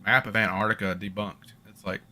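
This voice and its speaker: A man's voice: on the low side, flat delivery, a little fast, sharp tone. A man co-hosting a live talk show, chatting casually with a wry tone. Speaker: co-host. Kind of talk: casual live radio chat.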